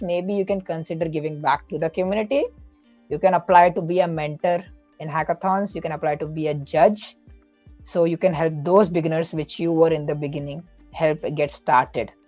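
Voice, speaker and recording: A man's voice: a little high, a little mellow, no room sound, dull audio. A man speaking as a podcast guest, giving earnest, explanatory advice in a casual way, often correcting himself mid-sentence.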